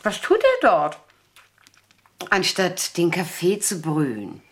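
Snooty gossipy spinster in radio play, very up and down voice, theatrical. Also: female voice, on the low side, wildly swooping pitch, takes it slowly, a little hiss or hum, exaggerated voice. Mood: haughty. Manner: theatrical, exclaiming, pronouncing judgments. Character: haughty, gossipy, food-loving spinster sister named Cäcilie. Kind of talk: German radio play acting.

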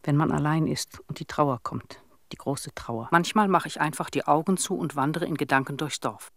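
A woman's voice: deep voice, moderate pace, no room sound, clean studio sound. An older actress playing a lonely, sorrowful old village woman, telling her story plainly and reflectively in a radio drama monologue. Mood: lonely, musing.

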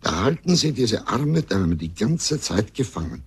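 An earnest, compassionate doctor and loyal companion in a radio play. His voice is deep and very rough-grained, and he speaks with emphatic feeling. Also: sing-song, unhurried, full character voice. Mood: appalled. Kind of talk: German radio drama acting.

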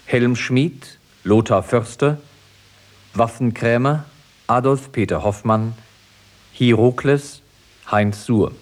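A man reading scripted credits formally as a radio announcer. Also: medium pitch.

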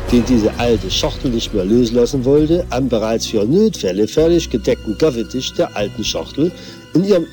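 Man as a wry narrator, very lively intonation. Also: mid-range voice, natural voice. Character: wry storybook narrator of a boy detective parody. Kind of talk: scripted narration, tongue-in-cheek.

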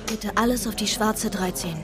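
An actress playing a grief-stricken, bitter young woman in a German crime radio drama, weary and often dropping to a breathy whisper. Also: medium pitch, steady tone, moderate pace, noisy background, lightly acted. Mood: tense.

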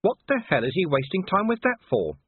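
A man's voice: high voice, big pitch swings, brisk, slightly rough, dry recording, narrow, tinny audio, voice pushed up, exaggerated voice. A man voicing a jumpy, grumbling city watch commander in an audiobook, blunt and exasperated.

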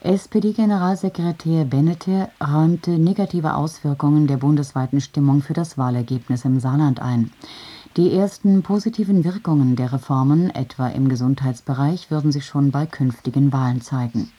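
Low-voiced German woman reading news. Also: normal speed, mellow, slightly rough. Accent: standard German. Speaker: radio newsreader.

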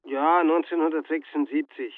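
Tinny phone, resentful ex-broker. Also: male voice, fairly high pitch, fairly even intonation, moderate pace. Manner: terse, grudging. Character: resentful, terse retired estate broker on phone. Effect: telephone filter. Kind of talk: radio drama dialogue. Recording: thin phone line, slight noise.

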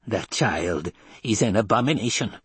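A man's voice: lowish voice, expressive, moderate pace, husky, dry recording. A man voicing a severe, venomous old woman seer in an audiobook, with a stern, condemning manner. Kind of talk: dramatic audiobook character voice.

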